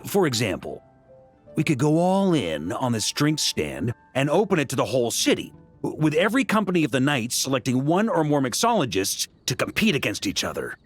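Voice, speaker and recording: A seasoned knight commander from a fantasy video game, older and fond of drink. He talks in an easygoing, boastful way with a lively, swinging intonation at a medium male pitch. Music plays behind him. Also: fairly quick. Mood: playful, musing. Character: jovial, hard-drinking veteran knight commander, older man. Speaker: video game character voice actor. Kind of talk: conversational video game dialogue.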